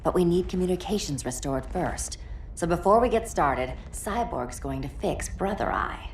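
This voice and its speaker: An actress playing a cool, businesslike team member in a video game, measured and matter-of-fact. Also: female voice, lowish voice, animated, reverberant. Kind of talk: video game cutscene acting. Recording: clear background ambience noise.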